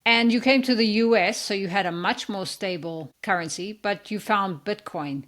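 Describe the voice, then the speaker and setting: A woman hosting a podcast, welcoming her guest and asking steady questions. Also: mid-range voice, moderate pace. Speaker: podcast host. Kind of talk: podcast interview.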